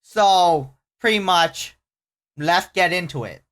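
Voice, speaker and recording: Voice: mid-range voice, takes it slowly. A casual host reading online horror stories aloud, often stumbling and correcting the wording, with startled, exclaiming reactions in between.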